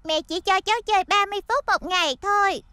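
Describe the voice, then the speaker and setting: A tiny high-voiced little girl. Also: fast, pushed and bright, dry recording, clean studio sound. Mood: worried. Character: sweet, dutiful, eager little girl. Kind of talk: Vietnamese cartoon dub.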